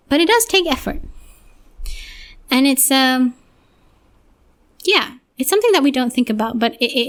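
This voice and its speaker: A young woman hosting a solo podcast, slightly hoarse, talking in a rambling, reflective way with many restarts. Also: on the high side, a bit bright. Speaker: podcast host.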